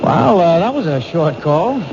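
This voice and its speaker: Earnest higher-voiced police detective. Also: male voice, very animated, measured pace, exaggerated voice. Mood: watchful. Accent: American. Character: earnest, anxious male police detective on stakeout. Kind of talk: old-time radio drama acting. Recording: noisy, muffled vintage radio broadcast.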